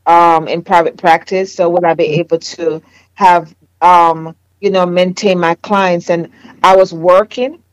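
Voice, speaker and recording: A woman with a Jamaican accent speaks in a slightly low voice, earnest and reflective. She pauses with fillers as she tells her story in conversation, and the sound is close and dry. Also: fairly even intonation, dry recording, rough recording. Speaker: therapist and business owner. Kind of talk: faith testimony in conversation.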